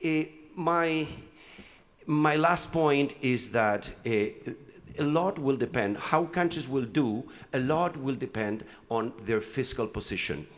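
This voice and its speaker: A man's voice: mid-range voice, expressive, slow pace. A man from Chile talks through a conference panel presentation in a loose, conversational way, stopping often for fillers and restarts. His voice sits in a middle register. It carries a long reverb from a large hall. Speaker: panel speaker, economist. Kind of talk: conference panel talk with slides.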